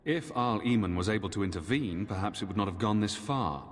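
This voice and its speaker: A man voicing a weary, dour Templar knight commander with formal, measured speech in a fantasy video game. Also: fairly low pitch, animated, lightly acted. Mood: grim, bitter. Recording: long echoing hall reverb.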